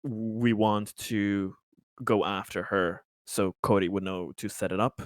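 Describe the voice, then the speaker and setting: Male roleplaying player, lower register, conversational and halting as he reasons through options, very dry recording. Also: steady tone, no room sound. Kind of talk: casual game discussion.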